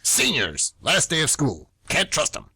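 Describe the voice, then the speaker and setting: Male actor as paranoid history teacher for radio comedy, barking like a drill-obsessed soldier, very animated delivery. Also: on the high side, a little slow, slightly rough, no room sound, exaggerated voice. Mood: paranoid, urgent. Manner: clipped, militaristic, condescending. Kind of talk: amateur radio comedy acting.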